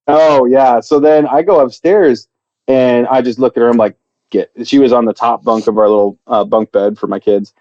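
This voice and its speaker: A man spins a long, meandering story on a podcast, circling back with fillers and half-finished starts. His voice is mellow and dark. The sound is dry and a little muffled. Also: mid-range voice, fairly even intonation, normal speed, no room sound. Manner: rambling, many fillers and restarts. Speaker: podcast co-host. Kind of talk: casual storytelling chat.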